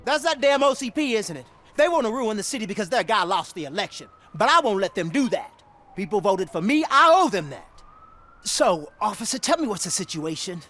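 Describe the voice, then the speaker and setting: An indignant elected mayor from a game. The delivery is earnest and emphatic, very lively in pitch around a middling register. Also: brisk, soft background music. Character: indignant, dutiful newly elected city mayor. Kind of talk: video game cutscene acting.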